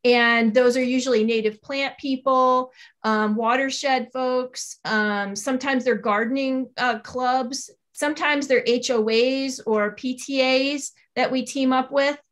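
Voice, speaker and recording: A woman's voice: sits high, fairly even intonation, unhurried, fairly bright tone. A woman in her forties speaking earnestly and emphatically as an interview guest, explaining her advocacy work over a video call. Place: small home office, indoors. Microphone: video call on a computer.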